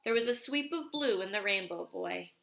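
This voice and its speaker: A woman's voice: mid-range voice, expressive, moderate pace, creaky, background noise. A woman reading a children's story aloud expressively. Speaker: storybook reader.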